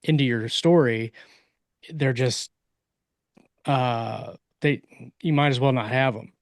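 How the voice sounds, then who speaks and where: A man co-hosting a casual discussion, explaining his points in a halting, searching way. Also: medium pitch, no echo, studio quality. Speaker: podcast co-host and author.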